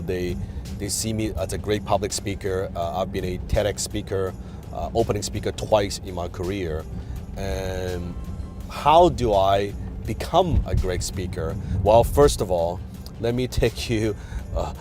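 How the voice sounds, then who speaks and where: A man from Hong Kong whose first language is Chinese, hosting a casual advice talk and telling his story with many fillers and restarts. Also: sits low. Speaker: host. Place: in a car.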